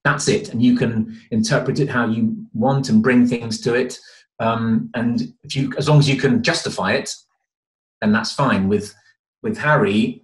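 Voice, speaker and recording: A middle-aged man, a stage actor, answering interview questions thoughtfully and hesitantly on a video call. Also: mid-range voice. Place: indoors at home. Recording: roomy, echoing video call sound.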